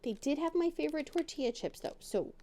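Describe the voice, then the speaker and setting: A woman's voice: high-pitched, animated, brisk, mellow, a little hiss or hum. A middle-aged woman chatting casually at her dining room table while crafting, rambling and poking fun at herself. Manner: rambling, self-deprecating, chatty. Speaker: crafting channel host.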